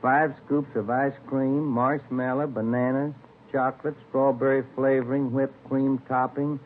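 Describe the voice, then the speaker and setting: Guy playing laid back folksy cop partner, old radio drama, deadpan, tinny n a bit noisy. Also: medium pitch, lightly acted. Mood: easygoing. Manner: mild, unhurried, folksy deadpan. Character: mild, easygoing, food-loving police detective partner. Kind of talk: 1950s radio crime drama acting. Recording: tinny, faintly noisy vintage radio recording.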